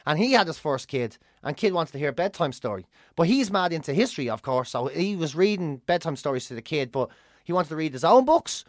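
A middle-aged Irish man tells stories in casual co-host banter. His voice sits a little high and swings widely in pitch, recorded close and dry. He talks informally, repeating phrases for emphasis. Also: big pitch swings, a little fast, no echo. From Dublin, Ireland. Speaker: co-host of a talk show. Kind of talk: casual comic storytelling chat.

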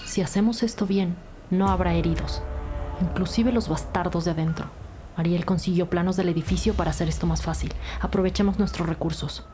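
A woman's voice: fairly low pitch, steady tone, brisk, lightly acted. Commanding actress as a female police detective. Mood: firm, focused. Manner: authoritative, clipped orders. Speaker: police team leader character. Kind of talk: crime audio drama acting. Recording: loud music behind the voice. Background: dramatic music.

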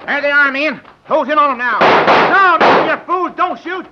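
High-pitched, bossy Old West sheriff. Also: male voice, moderate pace, loud music behind the voice. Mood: shouting, commanding. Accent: American Western. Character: excitable, bossy Western sheriff, rough and folksy. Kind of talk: old-time radio western acting. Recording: very noisy, dull old radio recording.

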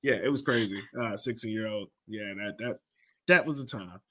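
A man's voice: fairly low pitch, normal speed, bright, forceful tone. Casual American man hosting a podcast. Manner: colloquial, repeats phrases for emphasis. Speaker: podcast host. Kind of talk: casual sports podcast chat.

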